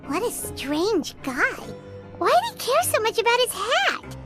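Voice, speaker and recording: A tiny, very high, chirpy companion. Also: female voice, measured pace, exaggerated voice. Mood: curious. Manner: chatty, blunt, excitable. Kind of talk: video game voice acting. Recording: game audio over loud music.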